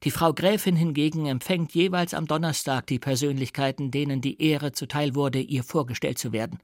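A man's voice: a little high, fairly even intonation, a little fast, no room sound, studio quality, natural voice. A man playing a haughty, icy young count. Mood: icy politeness. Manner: arrogant, cold, formal. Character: haughty young count, icily polite aristocrat. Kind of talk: literary audiobook character voice.

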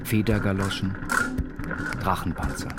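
An actor playing an eccentric utopian inventor reading out his writings in a measured, deliberate audio-drama delivery. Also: sits low, heavy background noise. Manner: measured, deliberate, declamatory listing. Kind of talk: slow spoken-word reading in an audio drama.

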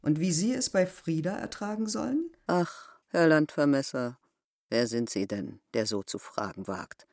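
A middle-aged innkeeper from a village, a proud and wistful woman. She speaks with grave, deliberate insistence in the manner of a literary radio drama, devoted to a love long past. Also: low voice, lively intonation, a little mellow, full character voice. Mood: indignant.

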